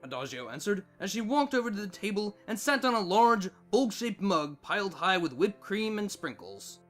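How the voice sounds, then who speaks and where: A man's voice: high voice, sing-song, moderate pace, fairly bright tone, faint music behind. A man reading scripted storybook narration.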